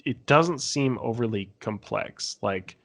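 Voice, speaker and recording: A man on a podcast panel chatting casually, explaining his view with frequent pauses. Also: mid-range voice, animated, creaky. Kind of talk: casual chat about gaming.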